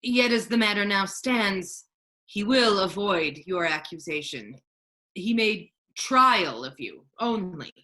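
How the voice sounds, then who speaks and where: A woman playing a disguised duke posing as a grave, counseling friar, in measured, formal Shakespearean verse. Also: medium pitch, expressive, pushed and bright. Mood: counseling, calm. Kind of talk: staged reading of Shakespeare.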